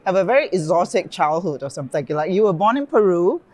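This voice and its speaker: A woman's voice: fairly low pitch, expressive, quick pace, darkish tone. A woman hosting an interview in a conference studio, asking questions in an effusive, eager, chatty way. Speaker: interviewer and event founder.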